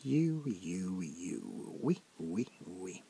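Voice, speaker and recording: Guy doing an old french vampire prince for asmr, real slow n gravelly, whispery, snooty and menacing. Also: low voice, very animated, mellow, slight background noise, full character voice. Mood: condescending, menacing. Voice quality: gravelly, breathy half-whisper. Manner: slow, deliberate, condescending, drawn-out pauses. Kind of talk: soft-spoken ASMR roleplay, half-whispered.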